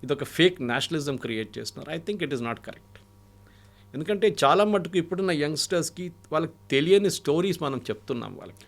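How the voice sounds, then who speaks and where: A man's voice: fairly high pitch, lively intonation, fairly quick, gravelly. A man with an Indian accent giving political analysis and anecdotes in an interview, switching between Telugu and English phrases. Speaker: guest commentator and analyst.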